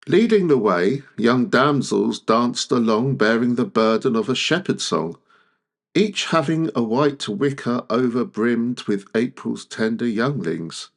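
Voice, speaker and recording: A man reading classic verse aloud in a measured, deliberate way, pausing often between phrases. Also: mid-range voice, expressive, gravelly. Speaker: poetry reader. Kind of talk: literary poetry recitation.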